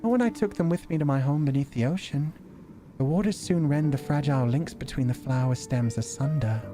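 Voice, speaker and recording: A man voicing a benevolent, subtly manipulative female mermaid in a visual novel, with formal, old-fashioned and unhurried diction. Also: mid-range voice, over music, natural voice. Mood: soft, complimentary.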